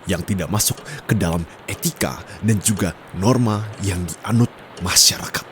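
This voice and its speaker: An Indonesian-accented man playing a stern, quietly dominant young private tutor in an ASMR roleplay, speaking formally and scolding, with bits of English mixed in. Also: low voice, normal speed, a little hiss or hum, natural voice. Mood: exasperated scolding. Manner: firm, scolding, formal, slips into English phrases. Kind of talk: ASMR roleplay, close intimate acting. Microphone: close condenser microphone.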